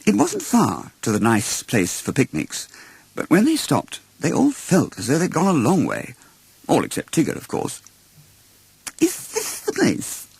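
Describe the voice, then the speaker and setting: A man reading a children's storybook as narrator in an expressive storytelling style. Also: a little high, moderate pace, gravelly, slightly rough, no echo. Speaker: storyteller, audiobook reader.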